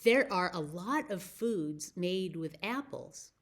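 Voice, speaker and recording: Lady doing story time for little kids, takes it slow, talks right to them, rhymes and stuff. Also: lowish voice, expressive. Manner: simple, direct prompts to child listeners, with pauses for answers. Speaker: children's library story time presenter. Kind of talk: storytelling and rhymes for young children.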